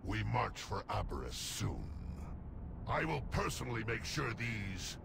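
A man voicing an arrogant, menacing draconic enemy commander in a video game, with a gravelly voice. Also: fairly low pitch, big pitch swings, measured pace, full character voice. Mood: commanding, irritated. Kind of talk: video game villain acting. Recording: loud game combat noise.

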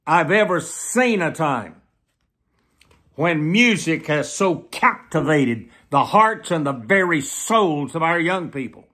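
An elderly man in his seventies preaching a solemn warning, deliberate, repeating phrases for emphasis. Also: fairly high pitch, fairly bright tone. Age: 70s. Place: small plain room indoors.

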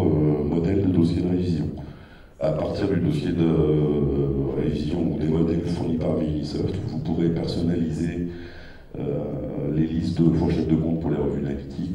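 A man in his fifties explaining software features on stage with a handheld mic, measured and full of fillers. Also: extremely low pitch, far from the mic. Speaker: keynote presenter. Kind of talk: product keynote presentation. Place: large dark stage with a big screen. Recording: echoey hall, voice from afar.

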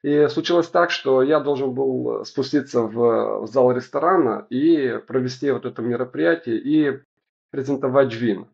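A man in his forties from Romania, speaking Russian as a second language with a Romanian accent, answering interview questions slowly and searching for words with many fillers. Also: medium pitch, vocal fry, darkish tone, muffled recording. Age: 40s. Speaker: sommelier guest. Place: indoors at home, bright room.

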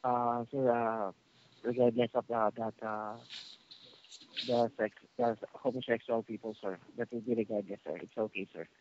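A man's voice: medium pitch, steady tone, moderate pace. Polite male phone agent, muffled line. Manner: polite, formal, constantly says sir. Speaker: telephone service agent, telemarketer. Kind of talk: scripted customer service phone call. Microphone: telephone. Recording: muffled phone call with slight noise.

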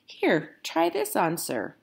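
A woman's voice: fairly low pitch, huge pitch swings, takes it slowly, creaky, husky, full character voice. A woman voicing a polite, obliging saleswoman in a picture book, courteous and deferential.

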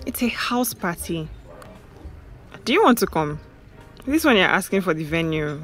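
A wry young woman with a Nigerian accent, teasing a friend in an audio drama. Her voice sits a little low and moves around a lot, over faint music. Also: sing-song, normal speed. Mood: teasing, casual. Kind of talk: audio drama acting, casual banter.

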